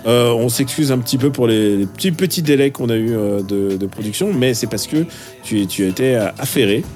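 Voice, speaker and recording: A man with a Parisian accent co-hosting a casual podcast, jokey and lively, with frequent restarts. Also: medium pitch, moderate pace, soft background music. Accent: Parisian French. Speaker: podcast co-host.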